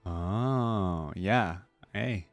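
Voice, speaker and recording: Lowish-voiced man, casual reaction host. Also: drawn-out words, no room sound, faint music behind. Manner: informal, rambling, thinking out loud. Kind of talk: casual gaming lore commentary.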